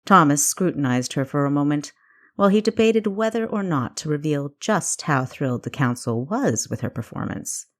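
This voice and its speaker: A woman's voice: low voice, animated, moderate pace. A woman reading scripted story narration as the narrator of an audiobook. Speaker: author narrating own audiobook.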